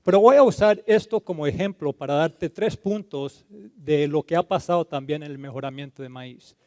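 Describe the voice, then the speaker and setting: A man from Colombia giving a conference talk, halting and repeating words, slipping into terms now and then. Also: a little high, dark tone, dry recording, studio quality. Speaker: conference speaker. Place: conference hall stage.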